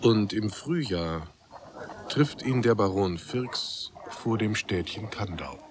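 A man narrating a literary radio drama as a sober storyteller, measured and restrained. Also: lowish voice, animated, background noise.

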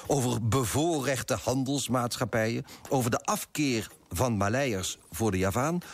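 An actor playing a fussy, self-righteous coffee broker in a radio play, pompous and self-important, with a husky voice. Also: male voice, medium pitch. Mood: baffled, self-important. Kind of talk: first-person radio drama narration and dialogue.

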